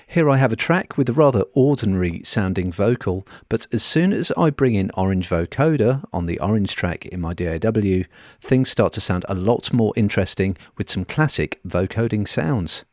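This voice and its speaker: A man presenting an audio software walkthrough in a scripted, explanatory narration, recorded close on a voice-over mic. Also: lowish voice, expressive, normal speed, no room sound.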